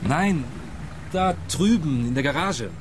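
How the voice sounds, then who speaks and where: A pushy, bumbling detective. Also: male voice, on the high side, animated, takes it slowly, a little hiss or hum. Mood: earnest. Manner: earnest and pushy. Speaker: private detective. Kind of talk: radio play acting.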